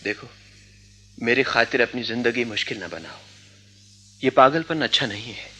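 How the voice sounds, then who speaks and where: A man acting a stoic, earnest young man in a radio drama, speaking in a measured, philosophical way. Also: medium pitch, sharp tone, faint music behind, lightly acted. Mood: concerned, earnest.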